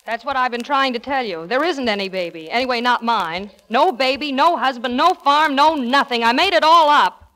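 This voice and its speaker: A woman's voice: high-pitched, lively intonation, bright, forceful tone. A woman playing a spirited, self-assured young magazine columnist in 1940s Hollywood radio style. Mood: exasperated, defiant. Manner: poised, brisk, self-assured.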